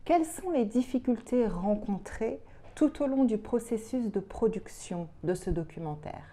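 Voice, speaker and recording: A woman's voice: fairly high pitch, expressive, normal speed, warm tone. A woman interviewer asking short, direct questions, recorded from some distance.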